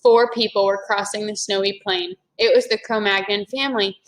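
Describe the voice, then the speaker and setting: A woman's voice: mid-range voice, fairly even intonation, moderate pace, rough recording. A woman reading a children's story aloud expressively, as if to a young class.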